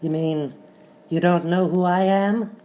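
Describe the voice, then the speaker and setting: A man playing a short, cocky, deadpan cowboy outlaw in a live radio-style comedy sketch. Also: high voice, measured pace, lo-fi sound. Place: live performance in a hotel venue.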